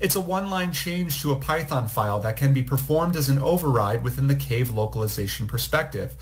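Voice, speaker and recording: A man reading a scripted, recorded technical presentation in a measured, explanatory way. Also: mid-range voice, animated. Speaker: program manager presenting.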